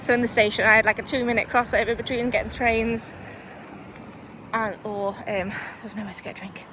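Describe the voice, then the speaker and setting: Vlogger woman with a softened Liverpool accent, rambling and chatty, a touch high-pitched, recorded on a phone with traffic noise behind. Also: little pitch movement, brisk. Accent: soft Liverpool with Lancashire influence. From Liverpool, England. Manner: rambling, chatty, with frequent fillers and laughs. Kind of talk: casual vlog chat. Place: town street, then in a parked car.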